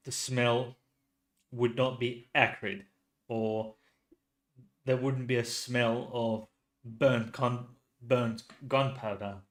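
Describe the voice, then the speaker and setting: A man with a Finnish accent, host of a true crime channel, talking casually with lots of restarts and pauses. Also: mid-range voice. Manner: hesitant, many restarts and fillers. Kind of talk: casual solo commentary.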